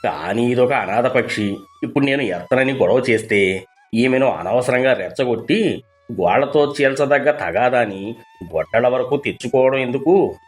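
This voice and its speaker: A man's voice: mid-range voice, moderate pace, close to an everyday voice. A man playing a pompous, self-important village headman in a moral story, exclamatory and grudging, over music. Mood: scornful grumbling.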